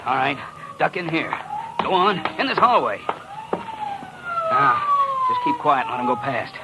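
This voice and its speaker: A man's voice: fairly high pitch, huge pitch swings, unhurried. An actor playing a dim, chatty small-time gangster henchman in a vintage radio crime drama, slangy and simple-minded. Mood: tense, gruff. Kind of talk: 1940s-50s radio crime drama acting. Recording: noisy vintage radio recording.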